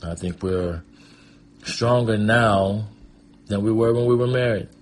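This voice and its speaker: A man's voice: fairly low pitch, unhurried. A middle-aged American man telling his own life story in a reflective, conversational, casual way. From Michigan, USA. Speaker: guest storyteller and performing artist. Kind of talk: personal storytelling, casual reminiscing.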